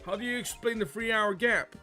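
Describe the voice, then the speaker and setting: A man's voice: sits high, brisk, pushed and bright, pitched above the performer's own voice. A man voicing an earnest, doubting young defense lawyer in a visual novel game, pointed and questioning. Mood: challenging. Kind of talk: visual novel game dialogue read aloud. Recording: game music behind the voice.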